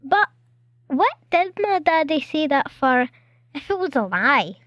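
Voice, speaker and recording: A woman's voice: sits high, very animated, unhurried, a bit bright, no room sound, studio quality, full character voice. An actress playing a trusting, inquisitive little girl with a Glaswegian accent and a childlike, plaintive way of asking, in an audio drama. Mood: puzzled. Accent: Glaswegian Scottish.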